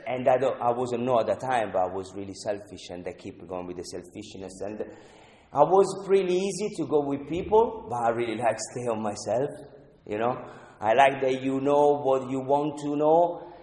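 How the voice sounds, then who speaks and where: A man from Italy with an Italian accent, sharing his story at a twelve-step recovery meeting in halting English with many "you know"s. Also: mid-range voice, expressive, mellow. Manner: halting non-native English, frequent "you know", earnest. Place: meeting room. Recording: echoey hall, speaker off-mic.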